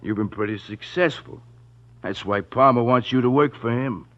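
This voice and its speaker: A man acts out a cocky mob errand boy from a vintage radio thriller. He taunts and wisecracks in slangy city talk at a middling pitch, on a dull old recording. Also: normal speed, natural voice. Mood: smug. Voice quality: slangy city tough-guy diction. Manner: mocking, cocksure, wisecracking. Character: smug, taunting male gangster henchman. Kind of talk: 1940s radio crime drama acting.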